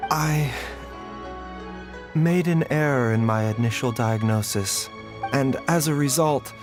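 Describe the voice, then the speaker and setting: An actor playing a calm, courteous doctor who speaks in a measured, formal, precise way, as in a video game. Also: male voice, mid-range voice, close to an everyday voice. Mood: measured, grave. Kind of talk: scripted video game voice acting. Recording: music behind the voice. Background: background music.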